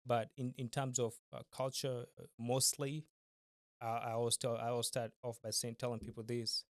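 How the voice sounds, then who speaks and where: Polite young man from Kenya, flat delivery. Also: medium pitch, no room sound, studio quality. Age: 20s. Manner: polite and formal, measured. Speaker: podcast guest. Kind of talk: casual interview.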